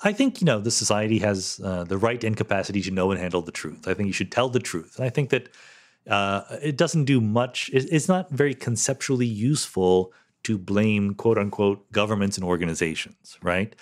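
Middle-aged guy with a lowish voice, chatty podcast host thinking out loud over listener questions. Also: expressive, fairly quick. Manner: thoughtful, conversational explaining with fillers and restarts. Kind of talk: solo Q&A podcast, reading questions and answering.

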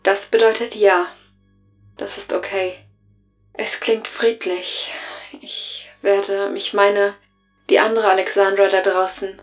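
A woman playing a resigned, gentle artificial intelligence in an audio drama, subdued and halting in delivery. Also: mid-range voice, level tone, soft background music, lightly acted. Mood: quiet acceptance.

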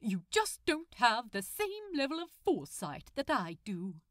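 A woman's voice: on the high side, huge pitch swings, unhurried, no room sound, clean studio sound. A woman voicing a prim, politely smug and fussy male supervillain in a fan fiction audiobook, with formal, pompous, articulate speech. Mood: smug, lecturing.

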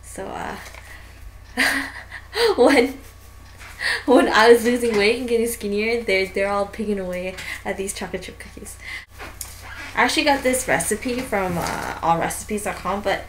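A woman's voice: mid-range voice, unhurried, pauses often, a little hiss or hum, poor audio. A young woman in her twenties casually talking through a baking recipe in her home kitchen, with lots of fillers and restarts. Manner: chatty, with frequent fillers and restarts.

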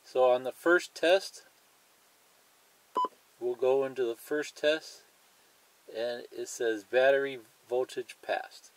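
A man's voice: mid-range voice, slow pace, long pauses, slight background noise, rough recording. A man presenting a hands-on tutorial in a plain, methodical way, explaining each step and repeating it.

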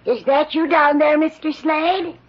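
A woman's voice: sits high, steady tone, unhurried, no echo. An actress playing a nervous, folksy, hesitant neighbour woman in a 1940s radio drama. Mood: friendly, calling out. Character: nervous neighbour woman, chatty and easily frightened. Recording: dull old radio recording, faint noise.

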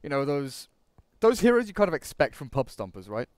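Young English caster, bright clean voice, chatty and loose. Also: male voice, fairly high pitch, very animated, clear, resonant tone, no echo. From England. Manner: chatty, quick asides, repeats words. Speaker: esports commentator. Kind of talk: esports draft commentary.

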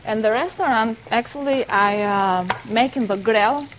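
A middle-aged woman cooks live in a TV studio kitchen, speaking slowly and stopping to find her words. The broadcast sound is dull and muffled, with a faint hiss underneath. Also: fairly high pitch. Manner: halting, searching for words while cooking. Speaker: restaurant chef. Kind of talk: live TV cooking demonstration.

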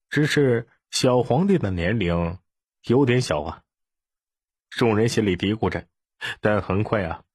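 Low, husky male audiobook narrator. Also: no echo, clean studio sound. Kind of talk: scripted dramatic story narration.

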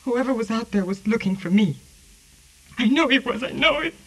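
Husky, frightened woman with no memory. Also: fairly high pitch, animated, moderate pace, rough recording. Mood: panicked. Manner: hesitant, anxious, pleading. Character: frightened, exhausted woman who has lost her memory. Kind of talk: 1940s radio drama acting. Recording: vintage 1940s radio recording.

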